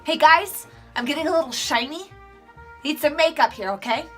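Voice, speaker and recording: A woman's voice: high voice, normal speed, faint music behind, pitched above the performer's own voice, close to an everyday voice. A woman voicing a bossy, demanding female star who orders her crew around in a comedy sketch.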